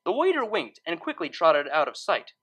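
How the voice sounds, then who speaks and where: Female narrator, scripted storytelling, expressive, lively intonation, slightly lower pitch. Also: moderate pace, breathy edge.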